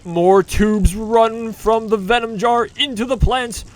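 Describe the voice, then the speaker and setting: A man narrating a campy retro serial in a theatrical, deliberate, scripted style. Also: high voice, steady tone, faint music behind.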